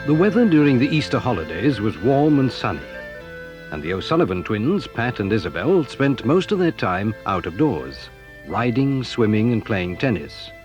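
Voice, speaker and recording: A man's voice: medium pitch, sing-song, normal speed. A man narrating a dramatised children's story in a scripted storybook style. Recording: dull, roomy 1983 audio recording with music.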